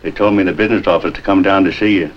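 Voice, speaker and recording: A man's voice: sits low, steady tone, very fast, natural voice. A man playing a wheedling, money-minded hotel desk clerk in a 1950s American police drama, hedging and ingratiating as he talks. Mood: earnest, hesitant. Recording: dull, noisy 1950s film soundtrack.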